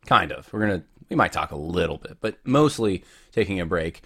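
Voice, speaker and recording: Very deep-voiced man with a cold. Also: animated, a little fast, sharp tone, slightly rough. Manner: rambling, many restarts, chatty. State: has a cold, voice deeper than usual. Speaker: podcast host. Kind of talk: casual solo podcast talk.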